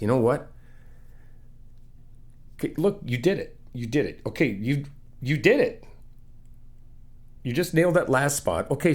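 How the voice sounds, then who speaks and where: A young man in an ASMR roleplay, talking as a friend helping paint a new apartment, easygoing and reassuring, teasing and laughing often. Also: mid-range voice. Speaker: ASMR roleplay creator playing a supportive friend.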